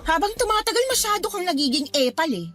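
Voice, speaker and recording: An actress playing a defiant, spoiled college-age daughter who snaps back in a radio drama. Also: female voice, very high voice, very animated, quick pace, a bit bright, exaggerated voice. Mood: taunting. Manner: snappy, back-talking. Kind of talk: Tagalog radio drama acting.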